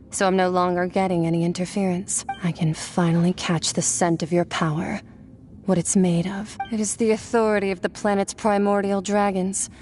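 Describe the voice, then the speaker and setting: A woman's voice: on the low side, a little mellow, a little hiss or hum, lightly acted. An actress playing a detached, enigmatic warrior woman in a video game, musing in a matter-of-fact way. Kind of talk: video game character dialogue.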